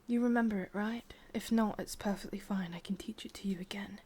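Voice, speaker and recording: Breathy, whispering English witch, played by a woman. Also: medium pitch, fairly even intonation, warm tone, lightly acted. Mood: coaxing, teasing. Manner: slow, halting, intimate murmuring with sighs. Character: sadistic, lovesick young witch, wistful and possessive. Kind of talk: whispered ASMR roleplay.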